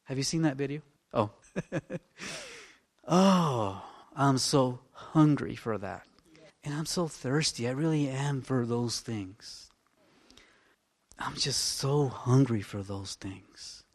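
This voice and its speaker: A man in his fifties, a minister preaching in a fervent, rambling way that swings between loud exclamations and hushed talk. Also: mid-range voice, slow. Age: 50s. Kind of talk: informal sermon.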